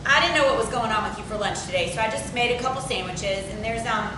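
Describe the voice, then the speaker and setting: A woman's voice: fast talker, close to an everyday voice. A woman acting a working-class wife and mother, affectionate yet firm, in a naturalistic drama table reading. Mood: affectionate, encouraging. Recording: very echoey, distant, rumbling noise.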